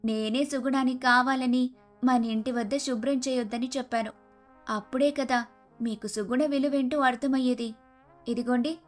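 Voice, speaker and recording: A woman playing an outspoken, principled young daughter-in-law in a Telugu animated moral story, speaking in an earnest, preachy way. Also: a little high, lightly acted. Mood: explaining. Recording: music under the voice.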